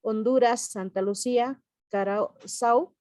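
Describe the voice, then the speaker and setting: A woman's voice: fairly high pitch, fairly even intonation, slow, a little mellow, no room sound, clean studio sound. A woman from Bolivia with a Bolivian Spanish accent, a culture ministry representative giving a solemn, declamatory prepared speech over a video call, with a few hesitations.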